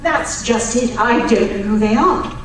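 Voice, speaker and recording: A woman's voice: a little high, measured pace, lightly acted. A woman playing a distressed lady client, hesitant, anxious and pleading, in old-time radio drama style. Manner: hesitant, anxious, repeating words. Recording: roomy, distant live stage recording with hiss.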